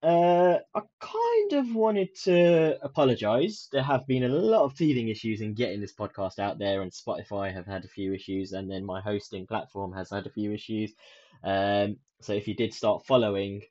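Soft-spoken man rambling on a podcast. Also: fairly low pitch, lively intonation, moderate pace. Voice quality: soft, soothing voice. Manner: rambling, many ums and restarts. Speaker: podcast host. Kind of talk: casual solo podcast chat. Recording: dull lo-fi sound.

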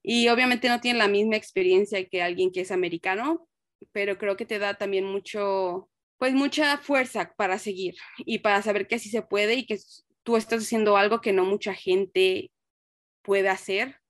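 A woman's voice: mid-range voice, normal speed, dry recording, clean studio sound. A young woman with a Mexican accent answering interview questions in an earnest, reflective, conversational way, now and then mixing in English words. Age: young adult. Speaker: interview guest, nurse and researcher.

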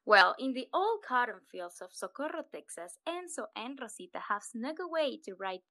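A woman's voice: on the high side, big pitch swings, normal speed, sharp tone, no room sound. A woman co-hosting a casual podcast in a playful manner, tossing in little vocal interjections. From US-Mexico border region. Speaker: podcast co-host.

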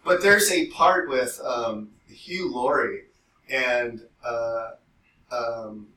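A man co-hosting a podcast in casual, rambling chat, telling things in an animated way and swearing freely. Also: mid-range voice, drawn-out words. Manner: animated storytelling, frequent swearing. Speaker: podcast co-host. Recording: roomy echo, distant mic, faint noise.

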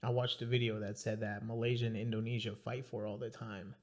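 A man's voice: on the low side, level tone, brisk, highs cut off. A young adult man hosting a podcast in casual interview chat. He laughs often and talks loosely, with restarts and asides. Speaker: podcast host.

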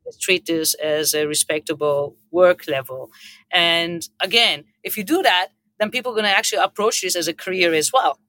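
Middle-aged Turkish-accented woman, bright and forceful, earnest unscripted panel speaker from the hotel business. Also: fairly low pitch, normal speed, no room sound. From Turkey.

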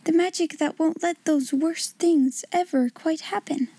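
A woman playing a small girl in a storybook, her voice lifted high and soft. She speaks in breathy half-whispers, tender and full of wonder. The sound is close and dry. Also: a little slow, dry recording. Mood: joyful. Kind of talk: audiobook character voice.

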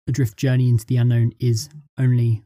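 A man's voice: medium pitch, little pitch movement, fairly quick, warm tone, no echo, clean studio sound. A man being interviewed in a casual chat, answering briefly and hesitantly in a coy, guarded way.

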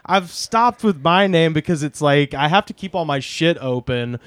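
A man co-hosting a casual podcast chat, deadpan and prone to absurd tangents, with lots of "uh" fillers. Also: on the high side, normal speed, no room sound. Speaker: podcast co-host.

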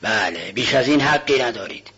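A man puts on a stern old widow who lends money, and she is curt and stingy in a storybook reading. She haggles in a dry, husky voice. The sound is dull and lo-fi. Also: medium pitch, expressive, sharp tone, heavily acted. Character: greedy, stern old female widow pawnbroker. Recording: dull lo-fi recording.

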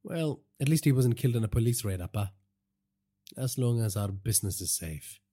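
A man voicing the dutiful, calm adult son of a crime boss in an audiobook, measured and deferential. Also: medium pitch, breathy edge. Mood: reassuring. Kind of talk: audiobook character dialogue.

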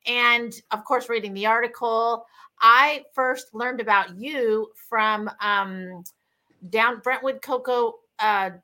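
A woman's voice: on the high side, sharp tone. A woman hosting a casual interview, enthusiastic and chatty, with many fillers and restarts. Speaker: host.

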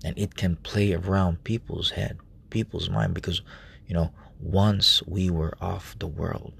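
A man preaching softly at night in a relative's room, speaking in a hushed, halting way with many fillers. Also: low-pitched, fairly even intonation, gravelly, breathy edge. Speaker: lay preacher. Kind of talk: informal late-night sermon.

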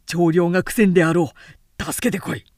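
A woman's voice: fairly low pitch, fairly even intonation, normal speed, a little mellow, no echo, clean studio sound, lightly acted. A woman voicing a proud, weary male warlord in a storybook reading, curt and authoritative in archaic lordly speech. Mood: commanding.

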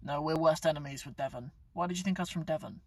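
Guy, young adult, rambling live-stream host, fairly high voice, dry car recording. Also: fast, a little mellow, dry recording, far from the mic. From England. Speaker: YouTube channel host. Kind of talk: casual live-stream chat. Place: inside his parked car.

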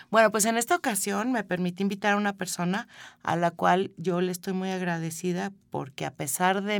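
A woman's voice: medium pitch, no room sound. A Mexican woman hosting a radio show, interviewing a guest in a friendly, chatty way. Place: radio studio.

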